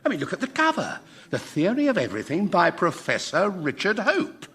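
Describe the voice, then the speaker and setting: High-pitched, excitable professor. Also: male voice, fairly quick, heavily acted. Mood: puzzled, excited. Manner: querulous, excitable. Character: indignant, bewildered dead physics professor. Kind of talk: radio comedy drama acting.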